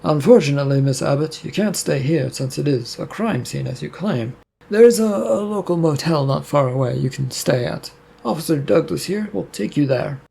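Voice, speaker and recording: A man's voice: fairly high pitch, lively intonation, moderate pace, creaky, warm tone, husky, heavily acted. A man voicing a weary police officer questioning a witness with dry doubt. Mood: skeptical.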